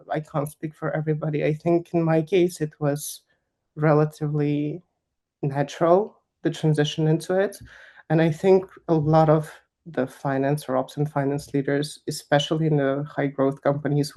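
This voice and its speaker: A woman's voice: low-pitched, fairly even intonation, normal speed, mellow. A young woman from Kazakhstan answering interview questions in a candid, emphatic way, with frequent laughs. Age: young adult. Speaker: guest finance executive.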